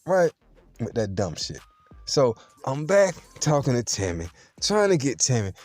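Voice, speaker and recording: Animated slangy man telling a story. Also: medium pitch, a little slow. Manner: animated, slangy, talks straight to the viewer. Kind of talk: casual storytime monologue.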